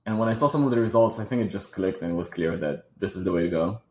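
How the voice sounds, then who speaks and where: A man's voice: low voice, fast, reverberant, a little hiss or hum. A man in his thirties from Switzerland, a native French speaker with a French accent, speaking as a guest in a casual interview. He talks thoughtfully and often repeats words while he searches for the next phrase. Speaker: guest, robotics company founder.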